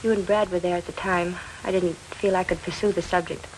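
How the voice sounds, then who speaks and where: A woman playing a worried, earnest accused woman who recalls events in a hesitant, quiet way, in 1940s film style. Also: fairly low pitch, fairly even intonation, brisk, a little hiss or hum, lightly acted, poor audio. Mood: subdued.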